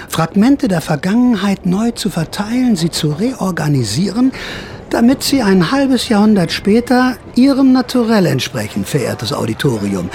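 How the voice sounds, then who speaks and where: A man's voice: sits high, a little mellow, a little hiss or hum, full character voice. An actor playing a sly older counterculture veteran who narrates his memories in a radio drama, confiding, wry and theatrical. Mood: conspiratorial, theatrical.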